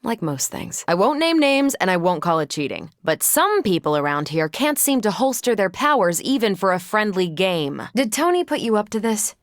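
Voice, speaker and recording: A woman's voice: medium pitch, big pitch swings, moderate pace, hardly any pauses, no room sound, studio quality. A woman playing a confident, wry female superhero soldier in a video game, breezy and assured in her delivery. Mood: teasing, dry. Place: dry studio recording.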